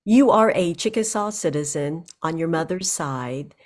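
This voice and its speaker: Lower-voiced woman news host. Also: animated, darkish tone. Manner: measured, scripted questions. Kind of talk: news interview. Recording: music bed underneath.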